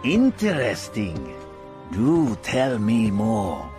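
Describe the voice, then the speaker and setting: Suave older male gang leader, unhurried, swooping intonation, formal manners, video game villainy. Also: lowish voice, huge pitch swings, full character voice. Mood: suave, pleased. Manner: measured, courteous, formal. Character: suave, calculating older male crime boss. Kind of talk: video game cutscene acting. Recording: music behind.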